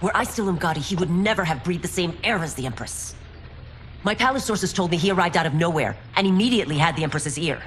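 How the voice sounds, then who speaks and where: An actress playing a proud former royal guard turned constable chief in a game cutscene, speaking formally and earnestly with firm resolve. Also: female voice, fairly low pitch, animated, fast, a little hiss or hum, lightly acted. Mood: guarded, skeptical. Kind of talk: dramatic video game cutscene acting. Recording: orchestral game music behind.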